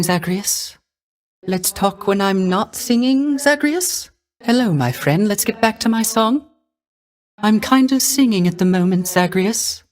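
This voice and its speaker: A young, melancholy court musician of the underworld for a video game. His voice is strikingly high and airy for a man. He speaks softly and hesitantly, with wistful, theatrical courtesy. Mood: polite, obliging. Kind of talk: video game character voice acting.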